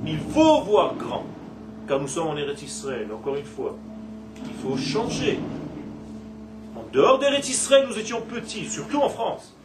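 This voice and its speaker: High-voiced man teaching in an echoey room. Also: measured pace, pauses often, distant mic, background noise. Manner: animated, playful asides, draws out words. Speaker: Torah teacher. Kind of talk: religious class lecture.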